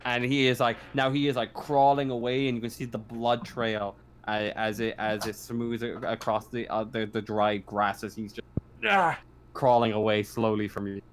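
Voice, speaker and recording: A man talking as game master of a casual tabletop game, halting and repeating phrases as he narrates. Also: mid-range voice, fairly even intonation, a little hiss or hum.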